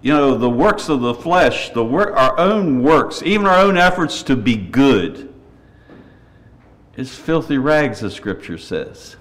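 An older man preaching a sermon in church, earnest and measured, with folksy asides and a slightly raspy voice. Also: medium pitch, very animated, fairly bright tone.

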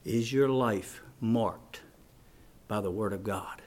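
A man preaching a fervent, folksy evangelical sermon, swinging between shouts and hushed appeals. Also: on the low side, slow pace. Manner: folksy, plain-spoken grammar with rhetorical questions.

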